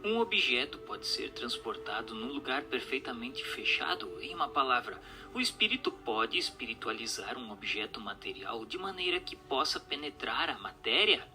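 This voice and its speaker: A man's voice: medium pitch, very animated, moderate pace, few pauses, music in the background. A man doing a dramatized audiobook reading in a formal, declamatory manner. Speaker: narrator. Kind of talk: dramatized audiobook reading of questions, answers and notes.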